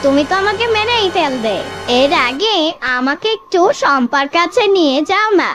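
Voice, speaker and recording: A performer voicing a cunning, plucky cartoon child with a pleading, expressive delivery. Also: high voice, normal speed, music in the background. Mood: wheedling. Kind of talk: cartoon story voice acting.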